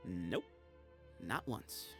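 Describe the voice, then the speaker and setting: A man's voice: deep voice, expressive, extremely slow, gravelly, music in the background, full character voice. A man playing a sly, easygoing young desert dweller in a video game, breezy, teasing and evasive.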